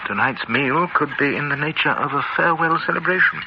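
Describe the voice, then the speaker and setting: An actor playing a confident businessman with a brisk, clipped manner and hearty asides. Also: on the high side, close to an everyday voice. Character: confident English businessman, genial boss. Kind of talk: television drama acting. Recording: muffled old TV audio with hiss.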